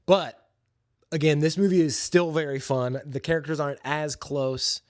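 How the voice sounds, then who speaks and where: A man's voice: a little high, fairly even intonation, moderate pace, no room sound, clean studio sound. A man hosting a podcast, giving an informal, opinionated and enthusiastic movie review in casual, slangy talk. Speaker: podcast host.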